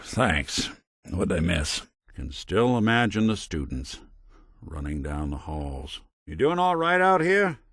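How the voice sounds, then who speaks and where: A world-worn android private eye from a video game. He speaks in a low American voice with dry sarcasm and a noir drawl, and his delivery swings freely up and down. The sound is very close and dry, like a studio booth. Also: very animated, slow pace, fairly bright tone, no echo, full character voice. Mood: wry, casual. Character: weary synth detective, world-worn male android gumshoe. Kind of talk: video game character dialogue.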